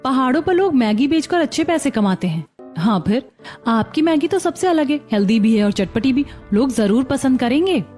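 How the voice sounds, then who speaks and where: A woman's voice: sits high, animated, moderate pace, darkish tone, faint music behind. A woman playing a cheerful, coaxing young sister-in-law in a cartoon family story. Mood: enthusiastic. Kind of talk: Hindi cartoon story acting.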